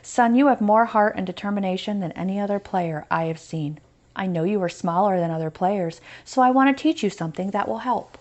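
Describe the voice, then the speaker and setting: A woman reading the part of a steady, encouraging father in a children's story, speaking in an earnest, instructive way. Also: mid-range voice, fairly quick, natural voice.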